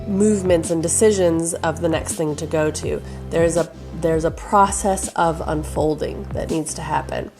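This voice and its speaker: Hoarse woman chatting about intuition. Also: fairly low pitch, a little mellow. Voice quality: raspy. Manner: chatty, loose, with fillers and restarts. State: hoarse, froggy from allergies. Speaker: intuition teacher. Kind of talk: casual talking-head advice video.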